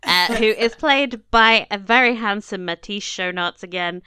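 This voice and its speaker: A woman's voice: mid-range voice, measured pace, bright, forceful tone, clean tone, no echo. A woman from England co-hosting a podcast in a casual, chatty way, laughing and swearing now and then. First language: not French. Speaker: podcast co-host. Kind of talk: casual chat.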